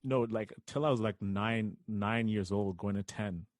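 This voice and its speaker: A man's voice: on the low side, a little slow, no echo, clean studio sound. A man in his twenties from Kenya, co-hosting a podcast in laid-back, slangy chat. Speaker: podcast co-host. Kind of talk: casual podcast conversation.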